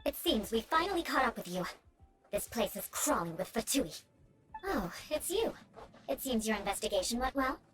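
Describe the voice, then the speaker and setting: A woman's voice: on the high side, big pitch swings, normal speed, fairly bright tone, soft background music. An actress playing a proud young noblewoman knight in a video game, cool, poised and dryly defiant. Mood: cool, nonchalant. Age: young adult.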